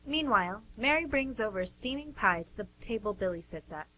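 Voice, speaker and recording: A woman's voice: mid-range voice, expressive, a little fast, sharp tone, rough recording. A woman narrator reading scripted scene descriptions for a radio drama.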